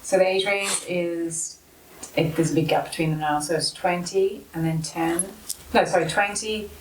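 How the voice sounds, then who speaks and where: A woman's voice: on the low side, steady tone, moderate pace, echoey room, noisy background. A South African woman in her thirties, chatting casually as an interview guest, often laughing and restarting her sentences. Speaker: guest, MMA fighter.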